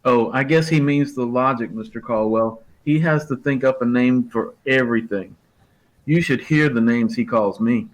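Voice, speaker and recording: A folksy shopkeeper, a man acting in a radio comedy, mid-pitched and plain-spoken. He is a mild, henpecked family man. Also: moderate pace, lightly acted. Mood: resigned. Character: mild, folksy small-store owner, henpecked father. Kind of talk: hobby radio play acting.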